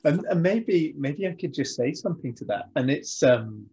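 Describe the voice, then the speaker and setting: A man's voice: a little high, expressive, normal speed, warm tone. A man, a podcast guest explaining his ideas earnestly with many fillers and restarts. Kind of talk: casual interview chat.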